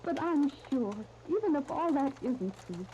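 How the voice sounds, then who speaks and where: An actress playing a stubborn, hurt young daughter, earnest and defiant, in a muffled 1930s radio serial. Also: female voice, high voice, animated, unhurried, mellow. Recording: muffled, noisy 1939 radio disc.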